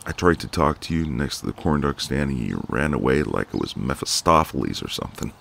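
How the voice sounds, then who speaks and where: A man playing a flirty, cocky but gentle ex-marine neighbour in an intimate ASMR roleplay, teasing in a folksy way. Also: very deep voice, very animated, a little fast, creaky, slight background noise, exaggerated voice.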